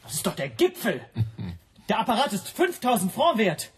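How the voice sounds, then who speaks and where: A man's voice: high-pitched, huge pitch swings, moderate pace, slightly rough, exaggerated voice. An actor playing a flustered, indignant language teacher, defensive and agitated as he bluffs in a radio drama. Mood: nervous indignation.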